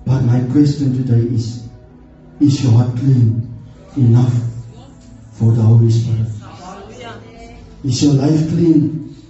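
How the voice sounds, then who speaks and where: A man's voice: mid-range voice, takes it slowly, pauses often, warm tone, soft background music. A middle-aged man, a church elder, giving an earnest sermon with frequent amens, recorded from far off in a hall. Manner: earnest exhortation with frequent "amen" interjections. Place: stage in a church hall, indoors. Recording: muffled, echoey hall, distant from camera.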